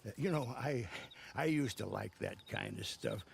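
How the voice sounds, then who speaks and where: An actor playing a chatty, wry older retired gunslinger in a western game, with a gravelly, rasping voice and a rambling, halting delivery. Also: male voice, medium pitch, animated, slight background noise. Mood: wry, easygoing. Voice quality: gravelly, raspy. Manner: rambling, halting, chuckling asides. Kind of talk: western video game cutscene acting.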